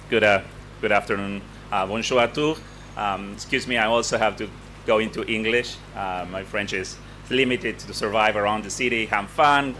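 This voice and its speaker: Bright-voiced Argentine man, halting conference speaker. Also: on the low side, a little hiss or hum. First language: Spanish. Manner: halting, repeats words as he searches for phrasing. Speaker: program director giving a launch speech. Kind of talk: semi-formal conference speech, spoken off the cuff. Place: conference event with participants.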